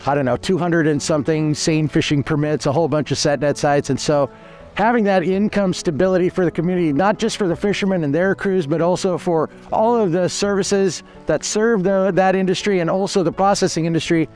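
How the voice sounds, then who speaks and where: A man's voice: a little high, a little fast, darkish tone, faint music behind. A man in his forties explaining a fish hatchery outdoors by a creek, earnest and matter-of-fact with frequent restarts. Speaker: hatchery manager giving a tour. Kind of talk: on-location explanatory tour.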